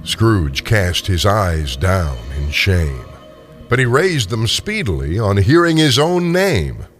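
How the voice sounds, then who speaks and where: An older man narrating a story dramatically, with theatrical, deliberate phrasing. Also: fairly low pitch, sing-song, faint music behind. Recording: long reverberant tail on voice.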